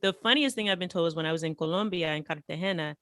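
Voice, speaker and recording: A woman from the United States tells stories as a podcast guest, animated and full of fillers. Her voice sits a little low. The sound is dry, with no room echo. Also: quick pace. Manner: animated, chatty, many fillers. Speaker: podcast guest, language teacher. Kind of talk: casual interview storytelling.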